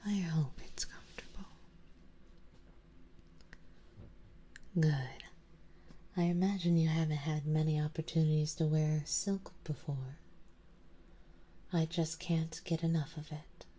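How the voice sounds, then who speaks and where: A woman playing an elegant, doting, possessive vampire noble in an ASMR roleplay, in a soft, breathy, mostly whispered voice with a genteel, coaxing manner. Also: low voice, fairly even intonation, a little slow. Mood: tender, admiring.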